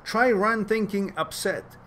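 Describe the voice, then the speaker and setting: A man from Iran, a Farsi speaker, hosting a casual live chat and giving earnest, reflective advice with loose pauses and fillers. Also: sits high, bright, forceful tone, a little hiss or hum. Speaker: host reading live chat questions.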